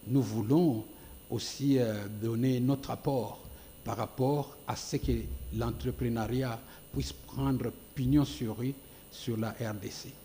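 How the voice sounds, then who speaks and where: A man's voice: medium pitch, dark tone, big-room sound, a little hiss or hum. A man from the Congo, a guest entrepreneur on a TV studio set, speaks hesitantly with many fillers and repeated words, growing emphatic. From Democratic Republic of the Congo. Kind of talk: TV studio interview.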